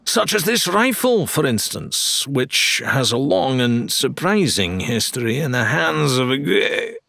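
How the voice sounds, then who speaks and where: A man's voice: mid-range voice, big pitch swings, a little slow, gravelly, bright, forceful tone, no echo, clean studio sound, pitched above the performer's own voice, exaggerated voice. A man voicing a blustering, theatrical pirate weapons dealer in an audiobook, drawing out his salesman's patter with grand flourishes. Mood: jovial, ingratiating. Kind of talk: dramatised audiobook character dialogue.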